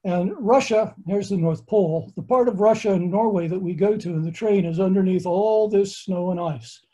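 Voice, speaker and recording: Older American man lecturing, mellow tone. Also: high voice, moderate pace, poor audio. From United States. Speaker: astronomer and tour lecturer. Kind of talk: online slideshow lecture. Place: home study with bookshelves.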